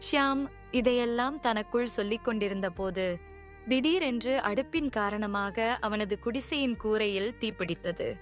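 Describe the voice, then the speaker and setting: A woman narrating a children's cartoon story in an even, storytelling voice. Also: a little high. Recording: music bed behind.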